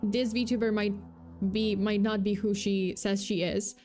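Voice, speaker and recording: A young woman with a touch of a Polish accent talks through a true-crime story on a livestream. Her delivery is loose and chatty, packed with fillers, restarts and asides, at an ordinary middle pitch. Faint background music sits under her voice. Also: little pitch movement, unhurried, mellow. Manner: chatty, full of fillers and self-corrections, reads chat aloud. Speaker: VTuber host.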